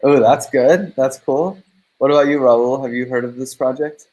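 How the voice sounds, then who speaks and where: A man's voice: mid-range voice, fairly even intonation, moderate pace, dark tone, muffled recording. Rambling casual man hosting a livestream. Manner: loose, rambling, lots of fillers. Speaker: host.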